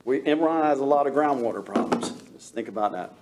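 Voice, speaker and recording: Builder speaking at public comment, mid-pitch man, plain-spoken, rambling, faint room noise. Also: expressive, quick pace. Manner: folksy, emphatic, rambling restarts. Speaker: local builder.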